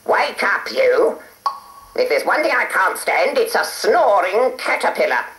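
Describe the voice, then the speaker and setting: A man voicing a spiteful, bossy witch in a children's story, shrill and hissing. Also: sing-song, unhurried, full character voice. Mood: scolding. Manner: shrill, shouting, then hissing whispers. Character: shrieking nasty female witch, bossy and spiteful. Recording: roomy echo with loud hiss.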